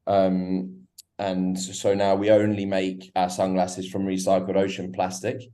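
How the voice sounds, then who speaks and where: A man's voice: low-pitched, monotone, unhurried, warm tone. A man being interviewed over a remote call, talking with many restarts and hesitations. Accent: foreign-sounding accent, South African company. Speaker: guest, company co-founder.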